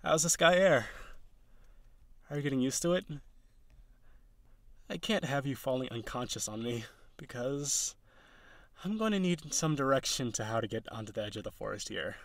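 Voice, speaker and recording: A man playing a tender, insecure young male harpy in an intimate audio roleplay, halting and pleading as he speaks. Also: mid-range voice, lively intonation, vocal fry, no echo. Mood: wistful, calm.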